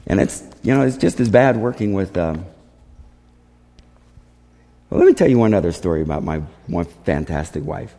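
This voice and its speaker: A man speaking as a missionary, giving a storytelling testimony to a congregation in an animated way, acting out dialogue. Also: low-pitched, normal speed, long pauses. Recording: reverberant hall.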